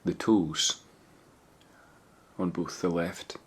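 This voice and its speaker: A man leading a guided body scan meditation, giving gentle, measured instructions with pauses. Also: low voice, steady tone, breathy edge.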